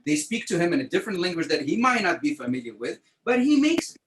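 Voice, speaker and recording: A man's voice: high-pitched, sing-song, fast, fairly bright tone. Stammering storyteller man in an echoey room. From Tunisia. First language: French. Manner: repeats words, many restarts and fillers. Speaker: podcast host. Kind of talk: casual storytelling chat. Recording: echoey room, faint noise.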